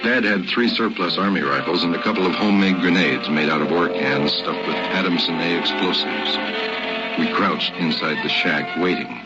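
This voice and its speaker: An actor playing a grown man recalling his boyhood in measured, dramatic old-time radio narration. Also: sits low, natural voice. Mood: reflective. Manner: measured, reflective storytelling. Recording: muffled old radio recording, music underneath.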